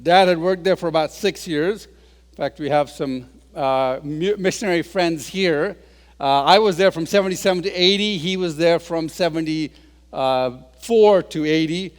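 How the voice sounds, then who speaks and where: A man in his sixties tells stories to a crowd with a joking, self-deprecating touch. His voice sits high for a man, and it rings out with the long reverb of a large hall. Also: unhurried. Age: 60s. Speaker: guest speaker. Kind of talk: humorous public talk.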